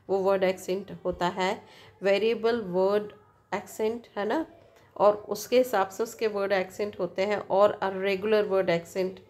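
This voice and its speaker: A woman teacher reading out an English text and explaining it in a lecture, asking her students rhetorical questions along the way. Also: mid-range voice. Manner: reads English text slowly, then explains quickly in Hindi. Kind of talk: lecture explaining a textbook passage.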